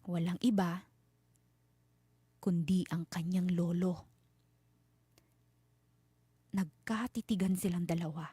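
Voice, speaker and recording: A woman storyteller giving a dramatic scripted horror narration with suspenseful, deliberate phrasing. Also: lowish voice, fairly even intonation, dark tone, husky, dry recording.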